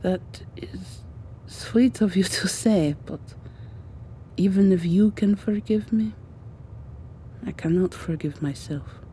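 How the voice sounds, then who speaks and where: Woman performing hushed ASMR roleplay heroine, guilt-ridden, devoted, very slow delivery, mellow low-leaning tone, halting and earnest. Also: a little hiss or hum, close to an everyday voice. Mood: remorseful, tender. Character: guilt-ridden, devoted female rescuer in a vampire district.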